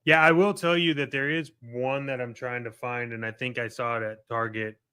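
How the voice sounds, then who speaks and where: A man's voice: mid-range voice, steady tone, moderate pace, sharp tone, clear, resonant tone. An American man co-hosting a podcast, talking in an informal, slangy way with plenty of "like" and "right?". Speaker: podcast co-host. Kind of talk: casual chat.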